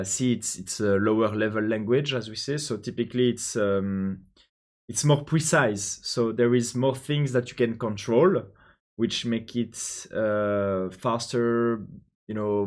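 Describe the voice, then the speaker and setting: A young man from Belgium with a French accent, thinking out loud while coding, hesitant with many pauses and restarts. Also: fairly low pitch. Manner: hesitant, many ums and restarts, answers chat questions. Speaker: programmer live-streaming his coding.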